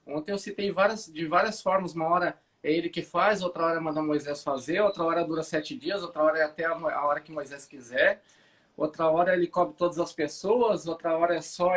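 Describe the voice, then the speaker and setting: A man, hosting a morning Bible live stream, relaxed and chatty, often restarting sentences. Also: a little high. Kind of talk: casual chat, prayer and Bible commentary. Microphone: phone or webcam for a live stream.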